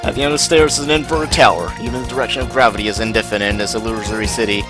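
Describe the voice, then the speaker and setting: A man's voice: lowish voice, fairly even intonation, very fast. A man reading a game's story aloud on a live stream, with casual asides and the odd stumble over words. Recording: game music behind the voice. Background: game music.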